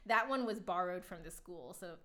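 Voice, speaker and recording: A woman's voice: lowish voice, moderate pace, bright, forceful tone. A young adult woman chatting casually on a live stream, rambling with lots of fillers while answering viewers. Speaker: flutist streamer.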